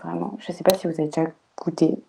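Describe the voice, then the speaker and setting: A woman's voice: lowish voice, flat delivery, fast talker, creaky, warm tone, far from the mic. A woman of Portuguese family, a podcast co-host, chatting casually and hesitantly with many fillers.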